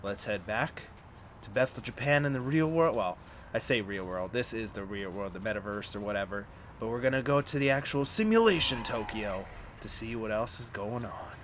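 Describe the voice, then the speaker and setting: A man with a slightly hoarse voice from a cold, chatting casually and upbeat as he hosts a game playthrough. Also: on the low side, lively intonation, normal speed, a bit bright, a little hiss or hum. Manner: chatty and upbeat, with frequent fillers. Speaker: gaming channel host. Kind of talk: casual let's-play commentary.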